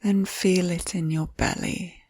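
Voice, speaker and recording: A woman narrating a scripted guided visualisation in a soft, soothing, unhurried manner, with breathy phrase endings. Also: on the low side, steady tone, dry recording, studio quality. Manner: soothing, unhurried, gentle instructions.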